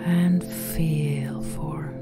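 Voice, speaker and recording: A woman guiding a meditation in a gentle, soothing, unhurried manner, softly spoken and at times breathy. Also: sits low, fairly even intonation. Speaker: meditation guide and podcast host. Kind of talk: guided meditation narration. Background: background music.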